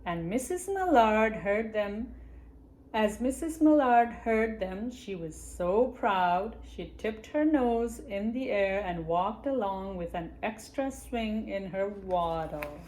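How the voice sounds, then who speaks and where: Unhurried woman reading a picture book aloud. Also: medium pitch, animated, a little mellow, slight background noise, poor audio. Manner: unhurried, pausing to show pictures to children. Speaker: library storytime reader.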